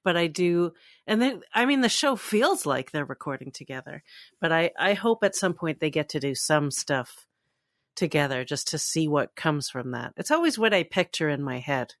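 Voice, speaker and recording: A woman's voice: deep voice, lively intonation, normal speed, no room sound, clean studio sound. A woman co-hosting a fan podcast, chatting informally and with enthusiasm. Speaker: podcast co-host. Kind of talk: casual fan podcast chat.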